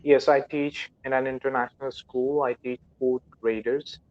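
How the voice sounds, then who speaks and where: A man's voice: medium pitch, little pitch movement, moderate pace. Pakistani-accented man on a muffled call. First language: not English. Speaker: English learner and schoolteacher. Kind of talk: conversation practice. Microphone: video call. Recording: muffled online call audio.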